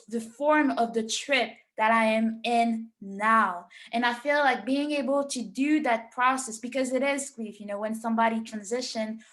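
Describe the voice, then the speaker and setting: A woman's voice: on the high side, a bit bright. Earnest woman guest. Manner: earnest, searching, repeats words while thinking. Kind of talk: reflective conversational talk.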